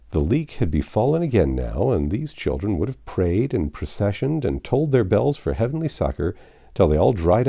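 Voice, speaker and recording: A man reads a classic novel aloud in a low, soft-edged voice. His storytelling is expressive, with a very animated melody that moves widely up and down. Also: creaky, slightly rough. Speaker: audiobook narrator.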